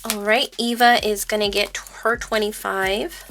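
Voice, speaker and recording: A woman vlogging about budgeting in casual, informal chat, speaking in a halting, stop-and-start way. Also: medium pitch, fairly even intonation, noisy background. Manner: informal, halting storytelling interleaved with counting. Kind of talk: casual chat while counting cash aloud.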